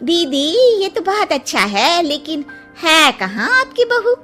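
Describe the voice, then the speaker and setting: Woman acting a high, lively, cheerful auntie. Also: unhurried, soft background music, exaggerated voice. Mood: agreeable. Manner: chatty, agreeable. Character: cheerful, easygoing middle-aged Indian mother-in-law, younger sister-in-law.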